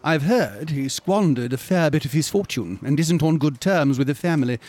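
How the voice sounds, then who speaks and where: A man's voice: on the high side, lively intonation, normal speed, a little mellow. An actor playing an affable, gossipy British newspaperman in a radio drama, polite and understated.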